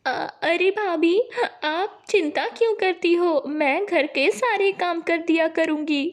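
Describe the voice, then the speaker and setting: A woman voicing a meek, humble young widow with a plaintive, polite manner in an animated moral story. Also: extremely high pitch, slow pace, voice pushed up. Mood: meek, pleading.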